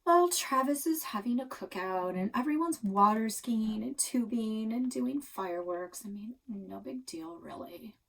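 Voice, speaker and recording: A woman's voice: fairly high pitch, lively intonation, unhurried, creaky, hardly any pauses, a bit bright, distant mic, heavily acted, poor audio. A woman voicing a scheming, dramatic teenage girl with a wheedling, falsely resigned manner in a storybook. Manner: theatrical, casual teen phrasing.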